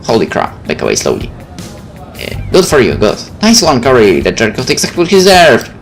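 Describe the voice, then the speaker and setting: A man's voice: medium pitch, huge pitch swings, brisk. A man hosting a game video, reading story narration aloud and adding casual, wry asides. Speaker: gaming video host. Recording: faint game music behind the voice.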